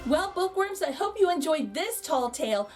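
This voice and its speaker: A woman reading a picture book aloud to children as an expressive storyteller, with exclaimed asides to her young listeners. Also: very high voice, moderate pace, over music. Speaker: children's read-aloud host.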